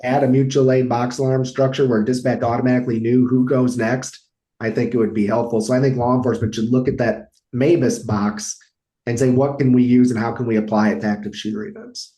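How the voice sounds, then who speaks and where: American man with a mellow, relaxed voice. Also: medium pitch, a little fast, low-quality recording. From United States. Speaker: podcast panelist on emergency dispatch. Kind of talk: casual panel discussion.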